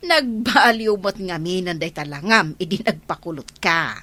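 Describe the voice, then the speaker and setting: A woman's voice: lowish voice, a little slow, bright, forceful tone. A woman playing a teasing, gossipy villager in a comedy drama, with an Ilocano accent and exclamatory delivery. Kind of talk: comic radio-drama acting.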